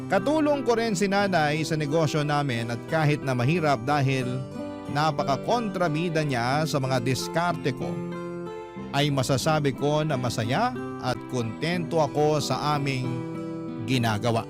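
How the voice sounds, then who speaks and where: A man's voice: a little high, lively intonation, measured pace. A man narrating a scripted first-person letter as a radio storyteller, in an emotive storytelling style. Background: guitar music.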